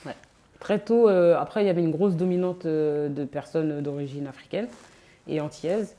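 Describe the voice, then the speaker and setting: A woman in her thirties from France, guest in a casual interview, talking in a relaxed, hesitant way with many fillers. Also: low-pitched, moderate pace. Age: 30s. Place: on location in a sports venue.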